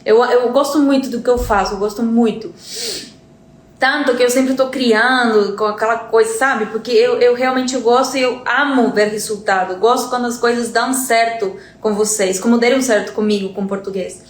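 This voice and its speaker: A woman's voice: fairly high pitch, fairly even intonation, normal speed, echoey room. A Uruguayan woman, a native Spanish speaker with a Uruguayan accent, teaching in an earnest live-stream lesson. She often repeats words and checks in with her listeners.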